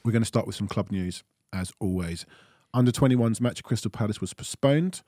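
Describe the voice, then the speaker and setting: A man hosting a casual fan podcast, easygoing and quick to laugh as he runs through the news. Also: on the low side, warm tone, no room sound, studio quality. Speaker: podcast host.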